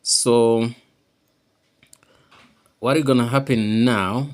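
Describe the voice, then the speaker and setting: A man giving an informal, unscripted how-to demonstration, halting and repeating himself as he explains each step. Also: mid-range voice, steady tone, clean tone. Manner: halting and repetitive, often checking understanding. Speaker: tutorial presenter.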